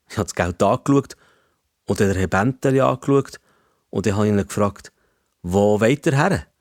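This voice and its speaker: A man's voice: mid-range voice, darkish tone, dry recording, clean studio sound. A man with a Swiss German accent telling a children's story in the first person.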